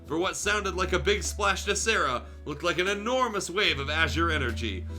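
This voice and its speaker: A man's voice: high-pitched, animated, normal speed, sharp tone. A man reading fan fiction aloud as a streamer, narrating dramatically with casual delivery and small self-corrections. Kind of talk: animated story narration with casual asides. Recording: music playing behind the voice. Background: music.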